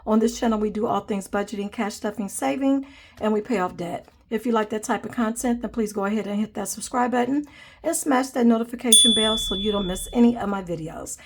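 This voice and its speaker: A woman's voice: mid-range voice, brisk, a little hiss or hum. A young woman hosting a budgeting vlog, chatting casually and counting cash aloud, sounding nasal from a cold. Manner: friendly, calls viewers "guys", counts money aloud. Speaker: budgeting channel host.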